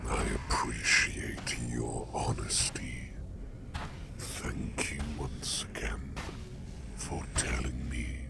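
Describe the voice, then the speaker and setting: Super low crackly demon, talks really really slow n formal, half whispering, solemn asmr roleplay. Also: male voice, steady tone, exaggerated voice. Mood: gentle, solemn. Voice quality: gravelly, breathy half-whisper. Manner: formal, archaic, slow deliberate phrasing. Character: ancient, solemn, protective male sleep demon of dreams.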